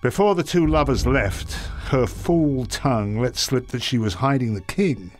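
An actor narrating as an ambitious knight in a video game, with a reflective, calculating manner. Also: male voice, medium pitch, unhurried, darkish tone, soft background music, natural voice. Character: ambitious male knight narrating his choices.

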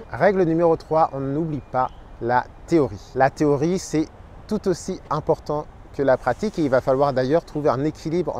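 An energetic man in his thirties talks to camera in a fairly high voice, explaining his tips as a Spanish teacher. He is recorded on a lapel mic outdoors, with faint traffic and park ambience behind him. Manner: energetic, expressive, gestures with his hands. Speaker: Spanish teacher and channel host.

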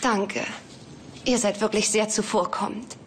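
A woman's voice: medium pitch, steady tone, measured pace, natural voice. An actress playing an emotional, unpretentious young neighbor woman in a German-dubbed sitcom. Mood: apologetic. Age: young adult. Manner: emotional, informal. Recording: noisy TV soundtrack with ambience.

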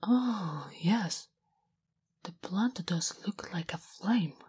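A woman voicing a big, blunt, smitten and naive female orc in whispered, halting broken English for an ASMR roleplay. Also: on the low side, animated, darkish tone. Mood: puzzled, curious. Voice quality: breathy, whispery. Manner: halting broken English, speaks of herself in third person. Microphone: close-up ASMR microphone. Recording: close, intimate microphone.

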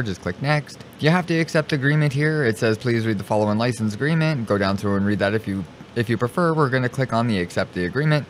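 A man talking through software steps in a casual, informal tutorial style, repeating himself as he narrates each click. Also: mid-range voice. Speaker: streamer giving a software tutorial. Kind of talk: casual step-by-step screen tutorial. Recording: clearly noisy home recording.

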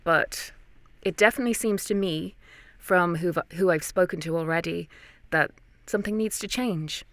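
A woman's voice: fairly low pitch, normal speed, a bit bright, no echo. A young woman with an Irish accent, a voice actor telling her story conversationally in an interview, close on a studio mic. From Ireland. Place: small padded recording booth. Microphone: close studio microphone, dry.

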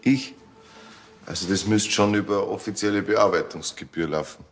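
A cheeky mechanic acted by a man who always has a deal going. His voice is on the low side and his radio play delivery is casual. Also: moderate pace, soft background music, close to an everyday voice. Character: cheeky, wheeler-dealer male mechanic.

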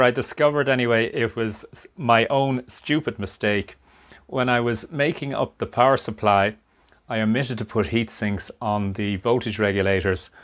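A man giving unscripted hands-on narration at a workbench, explaining things step by step in a measured way. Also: fairly low pitch, fairly bright tone. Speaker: hobbyist presenter of a radio restoration. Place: indoor workshop at a workbench.

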